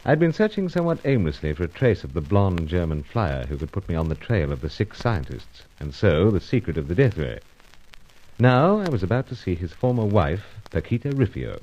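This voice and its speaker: An actor playing an understated, gallant upper-class British secret agent, with clipped, politely hesitant phrases in a radio spy serial style. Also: male voice, low voice, darkish tone, highs cut off. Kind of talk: old-time radio spy serial parody acting.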